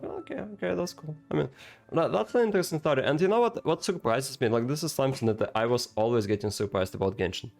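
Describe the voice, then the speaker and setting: A man giving casual, rambling game commentary, halting and repeating himself, with emphatic rhetorical questions. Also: medium pitch, gravelly, darkish tone. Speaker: gaming content creator reacting to game cutscenes.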